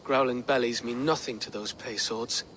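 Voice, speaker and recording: A man's voice: medium pitch, steady tone, normal speed, a bit bright, slight background noise, natural voice, rough recording. A young man playing an anxious, earnest nineteen-year-old peasant in a period game, with naive, quaint phrasing. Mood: worried. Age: young adult. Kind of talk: dramatic period game acting.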